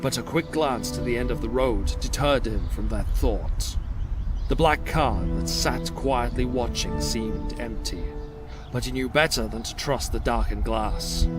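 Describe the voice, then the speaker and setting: Male audio drama narrator over loud music. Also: mid-range voice. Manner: measured, suspenseful storytelling.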